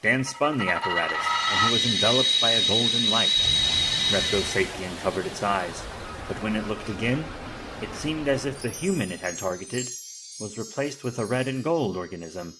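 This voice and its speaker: A man's voice: on the low side, animated, moderate pace, heavy background noise. A man narrating an action audio drama in a dramatic, scripted storytelling style.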